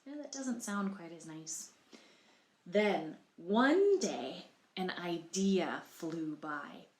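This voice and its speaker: A woman's voice: lowish voice, sing-song, very slow. A woman reading a picture book aloud to children, expressively, with explanatory asides and questions for the listeners. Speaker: library storytime reader. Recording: roomy, echoey sound.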